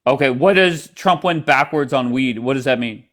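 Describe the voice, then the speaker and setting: Male livestream host, mid-pitched, blunt and probing with callers. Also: normal speed, a bit bright. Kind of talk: casual live debate chat.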